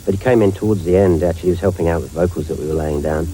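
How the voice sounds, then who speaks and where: A man's voice: low voice, very fast, rough recording. A man from north London, a film director, answering interview questions in a reflective, rambling way.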